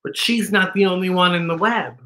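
A man hosts a children's storytime with campy theatrical flair. His voice is high and bright, and he stretches and exclaims his words in a roomy-sounding space. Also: animated. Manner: campy, drawn-out, exclaiming dramatic delivery. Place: decorated indoor storytime set.

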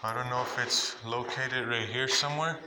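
A man casually narrating a walkthrough of a dark abandoned building, rambling and repeating himself as he points things out, filmed on a handheld phone. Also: medium pitch, steady tone, normal speed, noisy background. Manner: rambling, repetitive pointing out spots. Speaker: urban explorer vlogger. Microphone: handheld phone camera.

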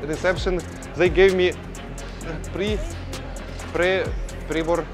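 A man chatting casually and apologetically in halting, giggly broken English in a gym. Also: high-pitched, steady tone. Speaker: prankster host posing as a beginner gym cleaner. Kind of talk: casual prank chat with strangers. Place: busy gym, indoors. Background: music.

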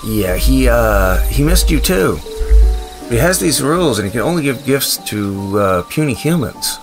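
A man's voice: mid-range voice, very animated, measured pace. Man playing a wry wasteland survivor, music behind. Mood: nervous, bluffing. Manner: conversational, hesitant, dryly humorous. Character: wry, weary male wasteland survivor, sentimental and quick-witted. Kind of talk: audio drama acting with narration.

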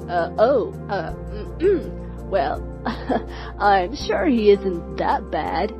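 A woman playing a gentle, courteous middle-aged mother in a cartoon comic dub. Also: medium pitch, big pitch swings, drawn-out words, rough recording. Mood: hesitant. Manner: courteous, hesitant. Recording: music behind.

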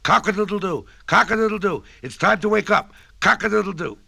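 A man voicing a crowd of indignant farm animals, shouting in singsong rhymes. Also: sits high, a little fast, gravelly, dry recording, voice pushed up, full character voice. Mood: crowing.

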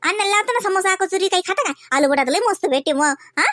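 A woman's voice: very high-pitched, fairly bright tone, dry recording, clean studio sound. A woman voicing chatty, homely village women in a family cartoon, with a rural Varhadi Marathi accent and a gossipy, colloquial manner. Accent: Varhadi Marathi, rural Vidarbha. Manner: gossipy, colloquial, drawn-out kinship exclamations.

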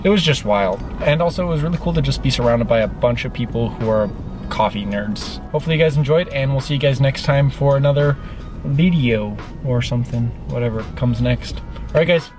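A young man chats to his camera from a parked car in an upbeat, easygoing way. His voice sits at an ordinary pitch, and faint music plays under it. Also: a little fast. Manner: upbeat, relaxed, filler-heavy. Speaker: vlogger. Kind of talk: casual vlog talk to camera.

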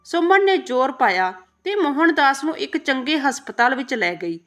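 A woman's voice: high voice, fairly bright tone. A woman narrating an emotional moral story from a script as a storyteller.

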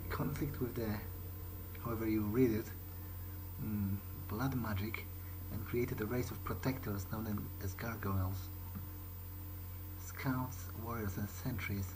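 A man's voice: on the low side, steady tone, takes it slowly, long pauses, background noise. A man playing a video game in character, thinking aloud in a musing, hesitant way. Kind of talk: in-character game playthrough commentary.

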